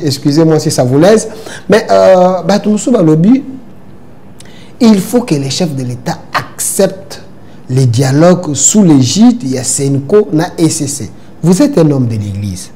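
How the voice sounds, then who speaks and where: A man's voice: fairly high pitch, expressive, a little slow, dark tone. A man hosting a talk show, congested from a head cold and pressing his guest with probing questions.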